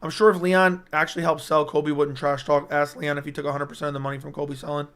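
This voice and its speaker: A young man talks loosely to his livestream chat, rambling and hyped, answering comments as they come in. His voice is strained and keeps cutting in and out, though its tone underneath stays clear. It sits a little high for a man. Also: level tone, quick pace, fairly bright tone. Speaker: MMA YouTuber hosting a livestream. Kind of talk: casual livestream chat reading viewer comments. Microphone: personal streaming mic.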